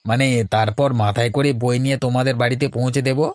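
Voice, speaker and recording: A man's voice: medium pitch, steady tone, quick pace, clean tone, lightly acted. Man voicing an easygoing younger village farmhand. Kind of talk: cartoon voice acting.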